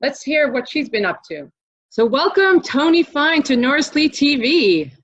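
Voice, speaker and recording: Lady host, kinda high voice, chatty and upbeat, slightly muffled video call sound. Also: sing-song, unhurried, fairly bright tone. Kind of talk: casual interview, chatty introductions. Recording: dull lo-fi video call audio.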